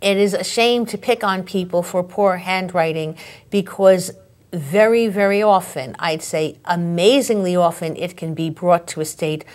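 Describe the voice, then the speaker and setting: A woman's voice: lowish voice, measured pace. A woman who is an author and handwriting expert, guest on an interview show, explaining in a precise, articulate way. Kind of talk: interview conversation.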